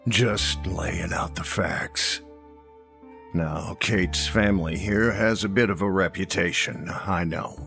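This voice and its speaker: An actor playing an old small-town sheriff in a horror audio drama, matter-of-fact, folksy and quietly threatening. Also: male voice, sits low, fairly even intonation, takes it slowly, vocal fry, faint music behind, exaggerated voice. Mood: measured, menacing.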